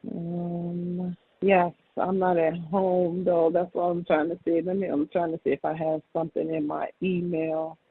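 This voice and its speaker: Over a dull, dry phone recording, a woman speaks with a creaky edge. She is a customer, hesitant and somewhat distracted, chatting casually. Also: lowish voice, flat delivery, unhurried, dry recording. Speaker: insurance customer on a call. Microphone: phone line. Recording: dull, band-limited phone call recording.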